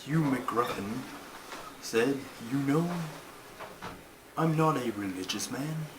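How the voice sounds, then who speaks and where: A man voicing a puppet turtle who tells stories in an earnest, bossy, deliberate way with formal wording. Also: medium pitch. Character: Terry, a slow, earnest, bossy storytelling male turtle puppet. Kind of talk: storytelling in a puppet comedy. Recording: clearly noisy homemade recording.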